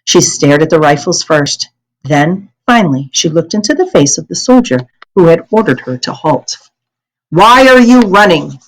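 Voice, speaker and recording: A woman's voice: low voice, expressive, moderate pace, darkish tone, low-quality recording. An American woman reading a storybook aloud as a teacher would, expressively and carefully, with small stumbles and corrections. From United States.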